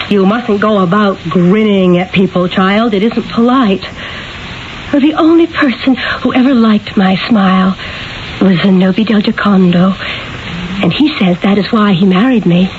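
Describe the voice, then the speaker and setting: An actress playing a demure, naive young merchant's wife in a 1970s radio drama, speaking simply, earnestly and hesitantly. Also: female voice, mid-range voice, a little slow, lightly acted. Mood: apologetic, helpless. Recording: dull old radio, faint hiss.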